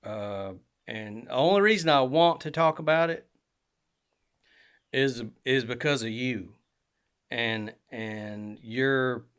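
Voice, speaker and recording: A man's voice: medium pitch, fairly bright tone, clean tone. Young guy on a podcast, rambles a lot, lots of "you know"s. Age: 20s. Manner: rambling, many fillers and restarts. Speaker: podcast co-host. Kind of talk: casual chat.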